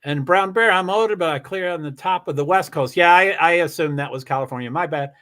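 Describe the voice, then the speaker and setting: Chatty, animated man with a higher voice. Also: brisk. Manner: animated, chatty, rambling with restarts and exclamations. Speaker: live streamer reading chat. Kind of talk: casual live-stream chat. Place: home in Washington State.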